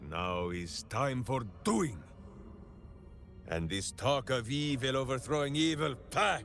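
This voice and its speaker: A man's voice: medium pitch, gravelly, a bit bright, full character voice. Big simple hero warrior for a game, super dramatic n righteous, voice swings all over, takes his time, music behind. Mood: righteous, bombastic. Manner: theatrical, grandiose, speaks of himself in third person. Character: big simple-hearted heroic male warrior, boisterous and righteous. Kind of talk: video game character acting.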